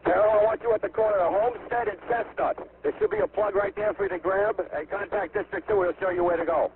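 High-pitched man commanding over tinny radio. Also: expressive. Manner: brisk, giving orders. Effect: two-way radio. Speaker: fire incident commander. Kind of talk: fireground radio traffic. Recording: tinny, noisy fireground radio recording.

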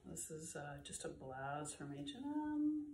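Slow, low-voiced woman, slightly congested. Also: heavy background noise. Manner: relaxed, chatty, with frequent fillers. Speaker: vlogger sorting found clothes. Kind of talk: casual chat to camera. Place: bright room with bare walls, indoors. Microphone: camera a few feet away. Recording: roomy, slightly distant camera audio.

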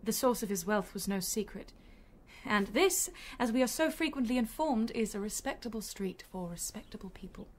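A woman's voice: fairly high pitch, expressive, moderate pace, a little mellow, a little hiss or hum, close to an everyday voice. Actress as composed, well-bred bereaved young lady. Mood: cool, bitter. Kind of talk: BBC radio drama acting.

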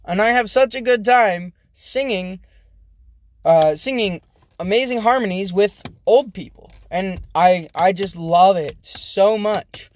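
A young man rambling in a casual vlog from inside a car, halting and self-deprecating, with many restarts. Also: high-pitched. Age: young adult. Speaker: vlogger. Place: inside a parked car, daytime. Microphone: phone camera held close.

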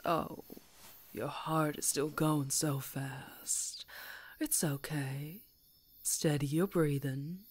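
A woman playing a female werewolf in an intimate ASMR roleplay, predatory yet tender, coaxing in a breathy voice that often drops to whispers. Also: deep voice, steady tone, very slow, full character voice. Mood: soothing, tender.

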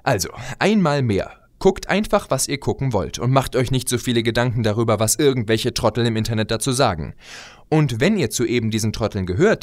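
Guy doing a scripted vlog rant, kinda low voice, super animated intonation, chatty asides. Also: no echo, clean studio sound. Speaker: video blogger and fan audio drama maker. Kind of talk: scripted opinion monologue.

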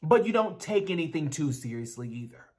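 A man's voice: on the high side, very animated, brisk, a little mellow, husky. A man in a dramatic book reading, playing an aloof, bookish genius teenage boy with a precise, pedantic delivery. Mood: instructive. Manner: pedantic, theatrical. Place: small bare room at home. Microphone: video call.

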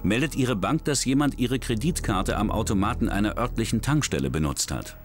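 A man giving scripted true-crime documentary narration in a measured, even way. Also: fairly low pitch. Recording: studio voice-over with music bed.

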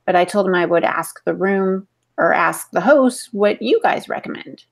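A middle-aged woman with a medium-pitched voice acts as co-host. She casually passes audience questions along to the panel over a video call. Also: moderate pace, vocal fry. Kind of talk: casual moderating. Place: home room, indoors. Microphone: earbud headset on video call.

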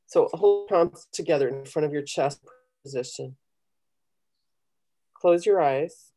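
A woman's voice: deep voice, slow, dark tone, soft background music. A middle-aged woman speaking on a video call, coaching and guiding a meditation in an instructional, encouraging manner. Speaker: guest leading a guided meditation. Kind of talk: conversational coaching and guided meditation. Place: small home room, indoors. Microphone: computer video call.

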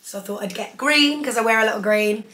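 A young woman in her twenties, hoarse from losing her voice, chatting enthusiastically through a clothing haul in her living room. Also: a little high, fast, pushed and bright. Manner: chatty, enthusiastic, holds up each item. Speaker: fashion vlogger. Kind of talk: casual haul vlog. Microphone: camera in front, seated.

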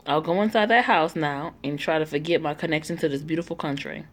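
A woman's voice: sits low, fast. A woman reading a story game aloud, voicing every part and breaking off for joking asides. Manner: reads all parts, breaks off with joking asides. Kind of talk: casual read-aloud with asides.

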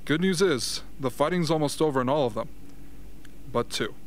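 An actor playing a gruff, pragmatic male rebel official in a radio drama. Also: a little high, moderate pace, a little hiss or hum, natural voice. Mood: matter-of-fact.

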